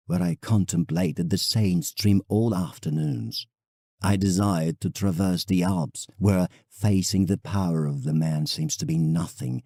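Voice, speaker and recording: A man's voice: low-pitched, creaky, mellow, husky, no room sound, clean studio sound, exaggerated voice. A man playing a proud, reflective English landscape painter in an audio drama, telling his travels formally as a letter to a friend.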